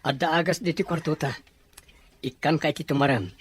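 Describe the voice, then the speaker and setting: Woman voicing a low-pitched brotherly office guy. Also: normal speed, a little hiss or hum, close to an everyday voice. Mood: caring, urging. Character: helpful, brotherly male office co-worker. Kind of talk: Ilocano audio drama acting.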